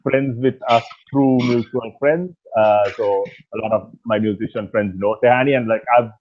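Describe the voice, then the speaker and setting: A man in his thirties from Sri Lanka, a singer talking casually in an interview over a video call, with a rough voice and a relaxed, rambling way of speaking full of fillers. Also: mid-range voice, normal speed. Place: small indoor room at night. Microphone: earphones on a video call. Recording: tinny phone-like call audio.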